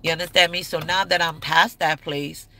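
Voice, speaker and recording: A woman's voice: sits low, fairly even intonation, normal speed, bright, forceful tone. A woman in her thirties giving relationship advice in a blunt, candid, slangy way. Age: 30s. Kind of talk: casual advice talk to camera.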